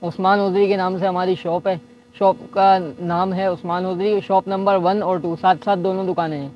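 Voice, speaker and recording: A man, a shop owner calmly showing baby clothes in his market shop and quoting prices item by item. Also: sits high, normal speed, highs cut off. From Pakistan. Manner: patient, listing items one by one. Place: busy market shop indoors.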